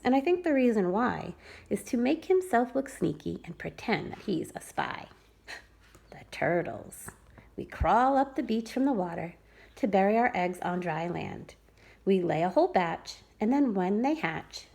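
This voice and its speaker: A woman preschool teacher reading a rhyming picture book aloud to children with expressive storytelling. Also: mid-range voice, a little slow, vocal fry, husky. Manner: expressive, sing-song storytelling.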